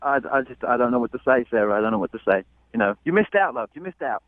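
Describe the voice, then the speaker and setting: A man's voice: medium pitch, lively intonation, quick pace, no room sound, narrow, tinny audio. A man from London with a London English accent, a caller on a radio show, speaking in exasperated, slangy British phrases and repeating himself. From London, England. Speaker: caller on a radio dating segment. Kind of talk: heated conversational phone-in.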